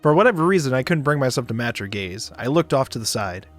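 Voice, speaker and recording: Guy narrating a visual novel on stream, expressive and dramatic, bit of music under it. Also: medium pitch, fast. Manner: expressive, stumbles and rereads lines. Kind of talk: dramatic narration reading with joking asides. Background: music behind the voice.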